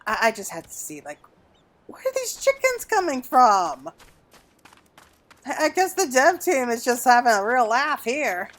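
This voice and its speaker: A woman giving casual, exclamatory gameplay commentary in an animated way. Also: sits high, unhurried. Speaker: gaming video creator. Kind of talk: casual gameplay commentary with reading aloud of game dialogue.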